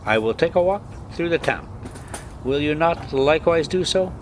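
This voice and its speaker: Guy doing an anxious young nephew in an old adventure story, questioning everything, swingy pitch, faint noise behind. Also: lively intonation, moderate pace, natural voice. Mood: polite. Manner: questioning, protesting.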